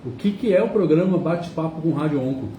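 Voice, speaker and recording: A man's voice: a little high, fast talker, dark tone, a little hiss or hum. A man hosting a radio talk show, asking his guests long, conversational questions. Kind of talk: casual interview chat. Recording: echoey room, mic at a distance.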